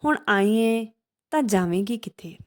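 A woman's voice: medium pitch, animated, moderate pace, a little mellow, close to an everyday voice. A woman voicing a threatening female fox in a children's folk tale. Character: menacing female fox, fat auntie.

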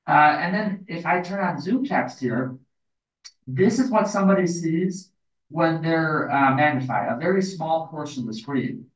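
Male demonstrator, slightly high voice, quick and plain, recorded off-mic in a roomy space. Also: far from the mic. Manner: quick, plain explaining with fillers. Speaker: guest demonstrator. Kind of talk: live software demonstration.

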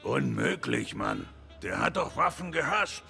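A big gruff gorilla boxer from a video game. His voice is extremely gravelly and forceful, swinging up and down as he talks bluntly. There is faint noise behind him. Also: on the high side, sing-song, measured pace, soft background music, full character voice. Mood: gruff recollection. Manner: blunt, colloquial. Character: big gruff male gorilla boxer, hot-headed but loyal. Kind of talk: German game dub dialogue.

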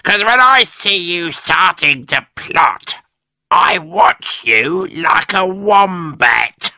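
A man's voice: sits high, expressive, extremely slow, sharp tone, no room sound, voice pushed up, heavily acted. A man voicing a nasty old woman who cackles and shrieks in a storybook reading. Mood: taunting. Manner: screeching, cackling.

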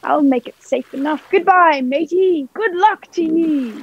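A woman's voice: sits high, measured pace. A young woman playing a sly, wheedling pirate in a radio play, speaking in ingratiating old seafaring dialect. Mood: fond. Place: indoor room, remote video recording. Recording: muffled home remote recording.

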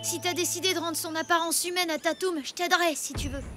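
A woman's voice: extremely high pitch, steady tone, quick pace, soft background music. Woman voicing an earnest cartoon boy. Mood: apologetic. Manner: earnest, soft, hesitant. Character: earnest young boy, loyal friend of a dinosaur. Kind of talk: cartoon dub acting.

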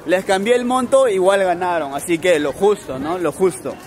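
A man's voice: high-pitched, normal speed. A young man filming a street challenge vlog at night on a busy city street, coaxing strangers with an upbeat manner and laughing often. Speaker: street vlogger. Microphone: handheld camera following him. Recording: crowded street noise. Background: crowd chatter and passing traffic.

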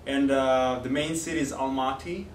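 Young Kazakh man, mid pitch, teaching language on video; halting, filler-heavy delivery, recorded by a distant camera in a noisy, echoing classroom. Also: fairly even intonation. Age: young adult. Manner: hesitant, many fillers and restarts. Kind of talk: casual video lesson. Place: classroom with whiteboard, indoors. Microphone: far camera. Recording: echoey room, distant mic, noisy.

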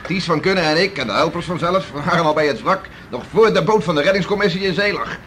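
An actor playing a hardy, boastful beachcomber husband in a 1960s radio play, bluff and emphatic. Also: male voice, a little high, expressive, fast talker, fairly bright tone. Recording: noisy old radio play recording.

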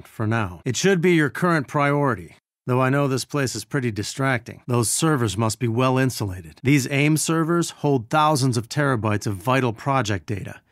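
An actor playing an earnest scientist who leads a resistance, guiding heroes through video game missions in a measured, dryly wry way. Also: male voice, medium pitch, a bit bright, dry recording, clean studio sound, natural voice. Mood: focused.

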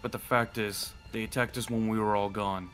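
A man's voice: on the low side, steady tone, moderate pace, fairly bright tone, faint music behind, lightly acted. A man playing a serious, commanding werewolf pack leader in a fantasy roleplay, speaking firmly and decisively. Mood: grave, suspicious. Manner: firm, decisive, grave. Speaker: roleplay performer. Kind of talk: improvised fantasy roleplay acting.

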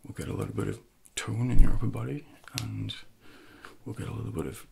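A man in his thirties speaks softly and close to the mic, as a personal trainer in an ASMR role-play. His voice is low and thick with gravelly fry. He takes his time, pausing gently, over a faint layer of noise. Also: fairly even intonation. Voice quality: soft, breathy, gravelly low voice.

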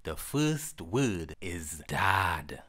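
Very slow, lively-pitched instructional voice. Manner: instructional, simple short phrases. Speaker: presenter teaching sign words. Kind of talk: sign language lesson narration for children.

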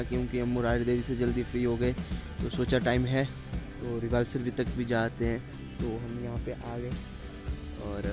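A man in his twenties talks casually to his vlog audience outdoors. His delivery stays fairly flat in range at an average male pitch, with clear music running underneath. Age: 20s. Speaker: vlogger. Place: outdoors by a lake. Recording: music behind the voice.

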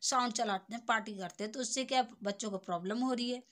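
A woman's voice: a little high, fast, fairly bright tone. A young adult woman giving an informal lesson, explaining step by step and often checking that the listener follows. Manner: explanatory, repeatedly checking understanding with tag phrases. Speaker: tutor.

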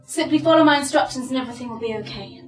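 A woman's voice: sits high, racing, close to an everyday voice. A young woman on stage playing a bitter, controlling director, speaking in an imperious, emphatic theatrical way. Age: young adult. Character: controlling, bitter female director toying with her characters. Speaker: stage actor. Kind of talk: theatrical stage acting, heated dialogue. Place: dark theatre stage, indoors. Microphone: far camera. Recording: echoey stage, distant camera microphone, music behind.

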